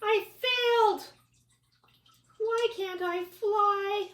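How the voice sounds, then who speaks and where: A woman's voice: very high-pitched, steady tone, drawn-out words, a bit bright, voice pushed up, exaggerated voice. A woman voicing a small, smelly male stink bug from a children's storybook, dramatic, self-pitying and whiny. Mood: dismayed. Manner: whiny, exclaiming. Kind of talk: children's storybook read-aloud. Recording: echoey room, distant mic, background noise.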